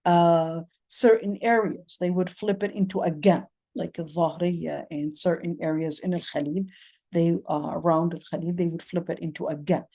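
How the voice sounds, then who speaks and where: A woman's voice: on the low side, fairly even intonation, normal speed, mellow. A middle-aged woman teaching a lecture on Quran commentary, explaining step by step and often checking in with "okay?". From Palestine. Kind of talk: classroom lecture.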